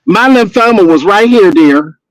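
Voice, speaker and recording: Blunt, sassy man in his fifties with a high voice, recorded dry and close. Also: lively intonation, unhurried, no room sound, rough recording. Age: 50s. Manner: sassy, blunt, gossipy with cussing. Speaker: guest on a live stream. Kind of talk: casual chat and storytelling.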